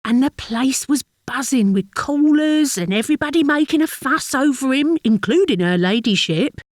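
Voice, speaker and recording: An actress playing a chatty working-class London wife in a dramatic monologue, fond and mildly exasperated, confiding in a gossipy, colloquial way with a London accent. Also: female voice, high-pitched, expressive, moderate pace, dry recording, studio quality. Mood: gossipy, puzzled. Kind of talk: dramatic monologue, conversational storytelling.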